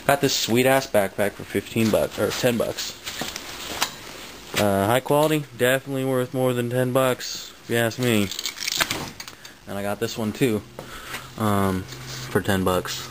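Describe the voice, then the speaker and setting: A man's voice: mid-range voice, a little slow, long pauses. A man chatting casually in a rambling, slangy show-and-tell, recorded on a noisy amateur home video. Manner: slangy, laid-back phrasing. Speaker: vlogger showing his finds.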